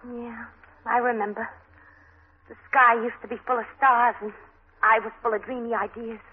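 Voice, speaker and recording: A woman's voice: a little high, little pitch movement, moderate pace, slightly rough. An actress playing a worn-out, wistful, streetwise New York woman in a 1940s radio drama. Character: worn-out, streetwise slum woman, gangster's old sweetheart, wistful and sad. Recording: noisy, muffled old radio broadcast.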